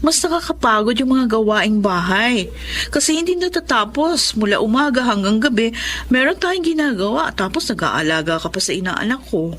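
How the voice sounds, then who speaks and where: An actress playing a nosy, suspicious close friend, insistent and gossipy, in a radio drama. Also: female voice, a little high, very animated, normal speed, fairly bright tone, slight background noise. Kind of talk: Tagalog radio drama acting.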